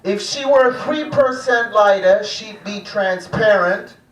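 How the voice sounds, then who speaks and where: A man's voice: high-pitched, slow, muffled recording. A man from Germany, performing a live stand-up comedy routine. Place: small live comedy room at a cafe. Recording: echoey room, distant stage microphone.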